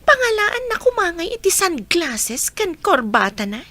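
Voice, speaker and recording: Here is a woman playing a young village woman full of doubt in an Ilocano radio play. Her very high voice moves unhurriedly, with animated swings in pitch. She muses hesitantly, as if to herself. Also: unhurried, exaggerated voice. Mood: doubtful. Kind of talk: Ilocano comedy radio drama acting.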